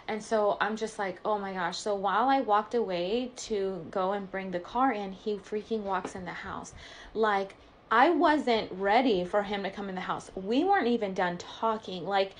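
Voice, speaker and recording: A woman with a medium-pitched voice recounts a personal story as a vlogger would. Her delivery is casual and confessional, full of colloquial phrasing. She dramatizes reported conversations, voicing each side as she goes. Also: a little hiss or hum.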